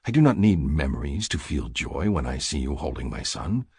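A man's voice: rumbling low, very animated, normal speed, vocal fry, darkish tone, breathy edge, no room sound, studio quality, heavily acted. A man voicing an earnest, devoted hunter in an audiobook romance, speaking intensely in formal words without contractions. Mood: tender, fervent.

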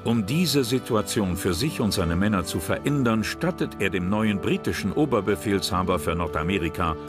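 A man narrating a history documentary drama in a measured, serious way. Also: fairly low pitch, expressive. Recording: narration over loud music.

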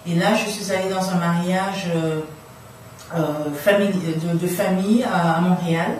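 A middle-aged woman from the Democratic Republic of the Congo telling personal stories casually as an interview guest, in an animated way. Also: on the low side, moderate pace. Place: small radio studio room, indoors. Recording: very echoey room, distant, faint noise.

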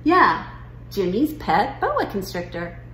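A woman's voice: medium pitch, huge pitch swings, slow, a bit bright, heavily acted. A woman reading a children's picture book as a nonchalant young schoolgirl, matter-of-factly recounting a farm trip. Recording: roomy living room with noise.

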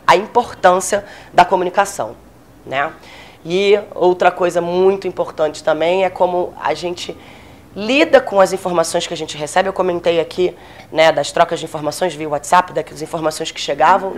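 A woman in her thirties from Brazil, a military officer giving a conversational lecture into a handheld microphone in a small room, with frequent fillers. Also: fairly low pitch. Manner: conversational, many fillers, gestures while speaking. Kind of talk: informal lecture. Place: small meeting room, indoors.

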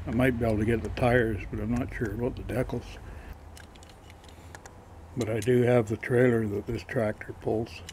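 A man showing his collector's finds in a casual, matter-of-fact way, pausing as he handles each piece, recorded on a camera mic. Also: medium pitch, flat delivery, a little mellow, a little hiss or hum. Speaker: toy collector showing flea market finds. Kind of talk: casual show-and-tell narration.

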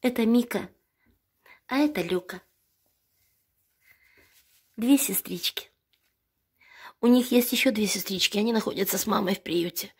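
A woman's voice: medium pitch, measured pace, long pauses, slightly rough. A middle-aged woman rescuing animals, speaking earnestly to viewers and tenderly to sick puppies while ill with bronchitis, filmed on a phone indoors. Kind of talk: casual appeal to viewers. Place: veterinary clinic and home room, indoors.

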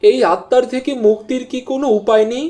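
Woman as an anxious young man, high-voiced cartoon. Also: steady tone, fast talker, slight background noise, natural voice. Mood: determined. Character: anxious, determined young man of a wealthy family. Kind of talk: cartoon voice acting. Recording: very loud music behind the voice.

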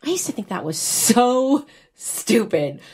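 An actress playing a wistful, teasing young bride in a naturalistic audio drama. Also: female voice, a little high, big pitch swings, takes it slowly, a little mellow, breathy edge, exaggerated voice. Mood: wistful frustration. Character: wistful, teasing young bride whose wedding was cancelled.

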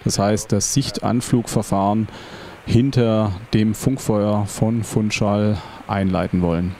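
A man in his forties, an airline captain, calmly explaining a flight in a measured, reflective voice-over. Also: fairly low pitch, a little hiss or hum. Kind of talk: calm explanatory voice-over commentary.

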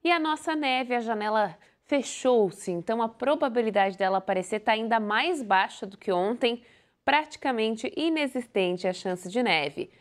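Young woman doing the tv weather, playful and animated, a bit high voice, studio lapel mic. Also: normal speed. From Brazil. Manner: lively, playful, gesturing while presenting. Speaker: weather presenter. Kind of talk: broadcast weather forecast. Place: TV studio in front of a video wall.

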